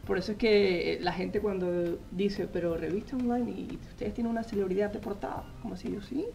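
A man, a magazine editor telling his story as a guest in a TV studio interview, animated and full of "eh" fillers, speaking into a lapel mic. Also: sits high, brisk, vocal fry, slight background noise. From Colombia. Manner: animated storytelling, frequent "eh" fillers, gestures while talking. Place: TV news studio set.

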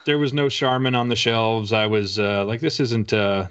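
A man's voice: lowish voice, fairly even intonation, moderate pace, a bit bright, clean tone. An American man in his forties chatting casually as an interview guest, with wry, self-deprecating humor. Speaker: author guest. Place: small home room lined with bookshelves. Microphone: video call, at a desk. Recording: slightly muffled video call audio.

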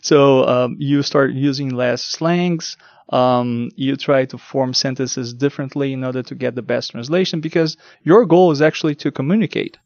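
A man's voice: medium pitch, steady tone, normal speed, a little mellow, no room sound, dull audio. A man whose first language is Portuguese, explaining technical work as an interview guest, with frequent ums and repeated words. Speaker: guest, translation test lead.

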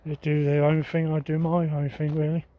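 A man giving halting, off-the-cuff commentary as he walks around outdoors with a handheld camera. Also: a little high, completely flat delivery. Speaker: urban exploration vlogger. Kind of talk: casual walking vlog commentary. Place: outdoors on an overgrown derelict site. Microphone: handheld camera's built-in microphone. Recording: muffled, noisy handheld outdoor recording.

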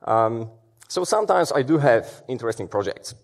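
A man's voice: mid-range voice, expressive, normal speed. A man, giving a casual conference talk in a large auditorium with plenty of fillers and restarts. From Poland. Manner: conversational, many fillers and restarts. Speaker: security conference speaker. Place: large dark auditorium stage.

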